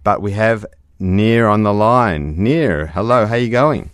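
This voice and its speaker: A middle-aged man hosts a radio show in a very low voice. The sound is dry and close. He chats easily and talks through explanations patiently, leaning on fillers. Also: a little slow, clear, resonant tone, no echo. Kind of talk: casual radio chat.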